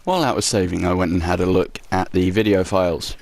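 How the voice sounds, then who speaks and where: A man's voice: low voice, fairly even intonation, quick pace, vocal fry, a little mellow. A young man casually commenting on a horror game as he plays, reading in-game notes aloud, sarcastic and swearing at the game. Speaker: let's play host. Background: faint game music.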